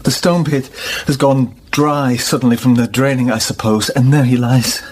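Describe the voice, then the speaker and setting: A man acting a troubled, middle-aged gentleman in a radio play. His medium-pitched voice is grave and weighty, halting under guilt. A faint noise runs under the recording. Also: fairly even intonation, lightly acted. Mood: grim, shocked.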